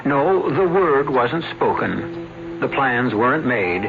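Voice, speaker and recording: A man hosting and narrating an old radio mystery show in a measured, deliberate, suspenseful way. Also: medium pitch, big pitch swings, vocal fry. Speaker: radio drama host and narrator. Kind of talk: scripted narration. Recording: dull old radio broadcast over music.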